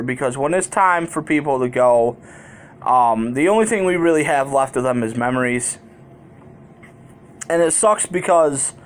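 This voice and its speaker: A man vlogging in a candid, colloquial way, rambling through personal stories as he talks straight to his viewers. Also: on the high side. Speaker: YouTube vlogger. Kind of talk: casual personal storytelling to viewers.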